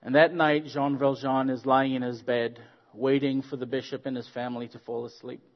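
A man from Zambia, a guest preacher giving a friendly sermon with stories, joking and pausing on fillers. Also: mid-range voice, flat delivery, dull audio. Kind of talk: sermon with storytelling.

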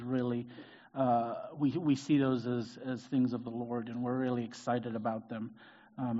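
A man's voice: mid-range voice, dull audio. A middle-aged man from Zambia, giving a conversational sermon as a guest preacher. He talks with many fillers and restarts. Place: church sanctuary.

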